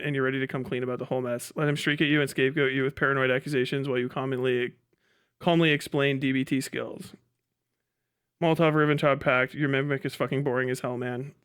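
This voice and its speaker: A man's voice: medium pitch, a bit bright, smooth. Sweary man muttering through a livestream. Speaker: livestream host reacting to a video. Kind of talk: casual livestream commentary.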